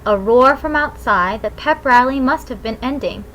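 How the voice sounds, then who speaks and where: A woman's voice: fairly high pitch, normal speed, a bit bright, rough recording. A woman narrating a novel in the first person as a scripted audiobook reading.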